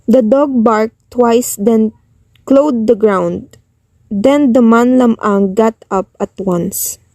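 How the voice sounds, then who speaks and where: A woman's voice: a little high, slow pace, a little mellow, dry recording. A woman narrator reading scripted storytelling narration of a folk tale.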